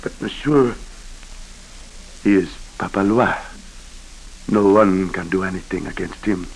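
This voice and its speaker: An actor playing an imperious, impatient Haitian governor with a French accent in a 1940s radio drama. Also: male voice, low-pitched, measured pace, creaky, slight background noise, low-quality recording. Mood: frustrated, fervent. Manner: commanding, impatient, emphatic. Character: imperious, superstitious Haitian governor, former rebel leader, fiery. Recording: vintage 1940s radio broadcast.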